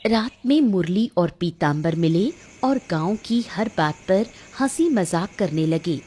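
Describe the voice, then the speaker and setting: A woman's voice: lowish voice, expressive, measured pace, mellow, slightly rough. A woman narrator reading a scripted cartoon story. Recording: music under the voice.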